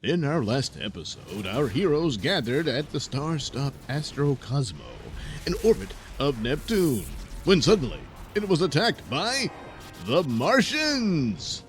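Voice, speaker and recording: A man's voice: a little high, very animated, unhurried, faint music behind. A man narrating a space-adventure serial in a dramatic, scripted announcer style.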